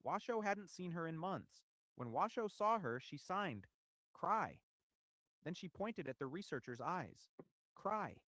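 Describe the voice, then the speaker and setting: Male documentary narrator, slightly high voice, animated intonation, measured rhetorical delivery of a script. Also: no echo. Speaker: video essay narrator.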